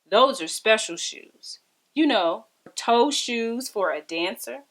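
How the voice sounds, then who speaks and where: A woman's voice: fairly high pitch, expressive, slow pace, a bit bright, exaggerated voice, poor audio. A woman voicing an observant, helpful young girl in a storybook, earnest and polite. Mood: earnest explaining.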